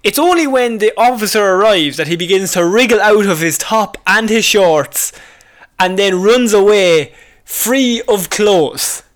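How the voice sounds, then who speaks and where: A man's voice: high voice, animated, measured pace, a bit bright. An Irish man co-hosting a comedy podcast, chatting casually and jokily about odd news and prone to laughing. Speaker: podcast co-host.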